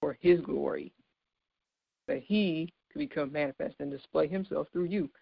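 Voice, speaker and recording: A woman in her thirties with a deep, low voice, giving an informal, earnest devotional sermon and laughing lightly between points. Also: normal speed, gravelly, husky, dry recording, dull audio. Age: 30s. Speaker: speaker giving a devotional talk.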